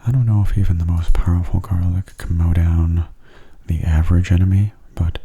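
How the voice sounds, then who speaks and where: A man giving soft-spoken ASMR game commentary, breathy and often near a whisper, in a gentle, explanatory way. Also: low-pitched, fairly even intonation, a little fast.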